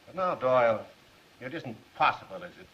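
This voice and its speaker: An actor playing a shrewd district attorney in a 1930s film, questioning a suspect with deliberate, mock-polite insistence. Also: male voice, mid-range voice, expressive, a bit bright, close to an everyday voice. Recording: muffled 1930s film soundtrack.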